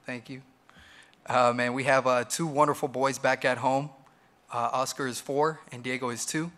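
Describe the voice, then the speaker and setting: A man's voice: medium pitch, pushed and bright. A man in his thirties at a panel table in a large hall, giving earnest prepared remarks with many ums. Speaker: restaurant manager, panelist. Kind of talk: prepared personal remarks at a roundtable.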